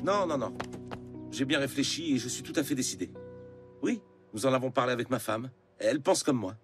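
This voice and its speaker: A man voicing a calm, decisive father in a dubbed cartoon, speaking in a measured, matter-of-fact way. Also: mid-range voice, natural voice. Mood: decided. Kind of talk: cartoon dubbing. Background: music.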